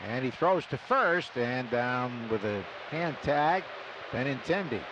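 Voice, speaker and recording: A man's voice: mid-range voice, animated, unhurried, background noise. An American man calling baseball play-by-play live on a radio broadcast. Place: stadium broadcast booth. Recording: muffled radio broadcast, loud stadium ambience. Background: loud ballpark ambience.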